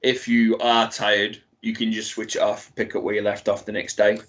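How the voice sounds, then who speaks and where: A man's voice: on the low side, level tone, normal speed, a bit bright. A man co-hosting a casual wrestling chat, talking eagerly and often stumbling over his words. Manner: stammering restarts, enthusiastic. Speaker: podcast co-host.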